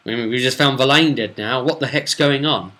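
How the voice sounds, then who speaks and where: A man's voice: medium pitch, a little fast, sharp tone, lightly acted. A man voicing distrustful, brusque adventurers in a tabletop fantasy game. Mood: suspicious. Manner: blunt, distrustful.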